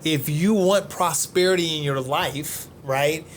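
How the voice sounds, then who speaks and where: A man co-hosting a podcast, animated and exclamatory, often restarting his sentences. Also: fairly high pitch, unhurried, a little hiss or hum. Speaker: podcast co-host. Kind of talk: casual motivational chat.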